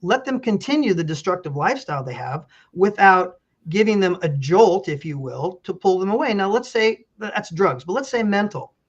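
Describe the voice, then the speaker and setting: A man's voice: sits high, expressive, moderate pace, hardly any pauses, dull audio. A middle-aged man, a pastor and county official, speaking earnestly as an interview guest and telling stories with many restarts. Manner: earnest, storytelling, frequent restarts.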